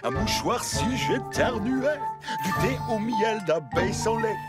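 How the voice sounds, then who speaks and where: A man's voice: high voice, expressive, unhurried. A man playing a self-pitying, melodramatic trickster creature who feigns illness in a French cartoon dub, often breaking into song. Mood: demanding, showy. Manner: flamboyant, wheedling, melodramatic. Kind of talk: French animated series dub with singing. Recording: music behind the voice.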